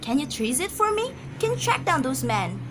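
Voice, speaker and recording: A woman's voice: high voice, very animated, normal speed, noisy background. A woman acting an anxious, imperious, easily flustered wife in an amateur radio drama, agitated and stammering. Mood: urgent.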